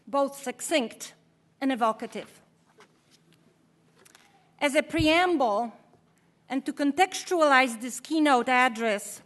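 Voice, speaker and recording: A middle-aged woman from Poland speaks at a podium microphone in a large hall, giving a formal keynote with a measured, deliberate delivery. Her voice sits high. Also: a bit bright. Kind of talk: formal commencement speech.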